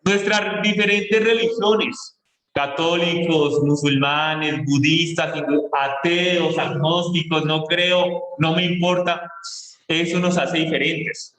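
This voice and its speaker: Colombian man, teacher, fairly high voice, lecture tone, roomy echo. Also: steady tone, a bit bright. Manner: explains slowly, stretching key words. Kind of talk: online class lecture.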